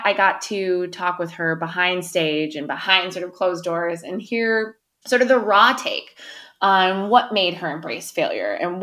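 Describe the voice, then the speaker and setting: A woman's voice: on the low side, a bit bright. Chatty, upbeat woman hosting a podcast. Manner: upbeat, chatty, many "sort of" fillers. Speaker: podcast host. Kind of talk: podcast intro and interview. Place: my town.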